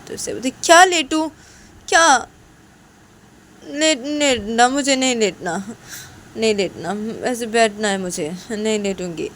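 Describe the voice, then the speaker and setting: A woman's voice: mid-range voice, fairly quick, few pauses, slight background noise, close to an everyday voice. A woman voicing an irritable pregnant woman doctor who scolds insistently and repeats herself in an amateur audio story. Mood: angry, stubborn.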